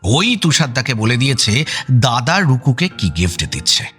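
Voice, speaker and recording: A man's voice: medium pitch, very animated, moderate pace, fairly bright tone, faint music behind. A man playing a disappointed, suspicious uncle in an audio drama, aggrieved in manner.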